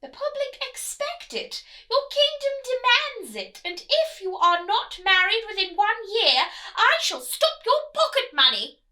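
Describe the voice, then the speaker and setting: A woman playing an imperious, scolding storybook queen, high-pitched. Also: big pitch swings, a little slow, bright, forceful tone, heavily acted. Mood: nagging, demanding. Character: nagging, commanding queen, mother of a grown prince. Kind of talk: children's storybook read-aloud.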